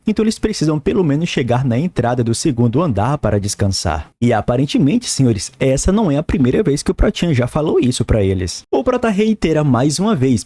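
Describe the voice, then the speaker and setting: A man's voice: mid-range voice, dark tone, husky, no room sound. A Brazilian man narrating an informal comic recap in slangy, colloquial language with jokey asides.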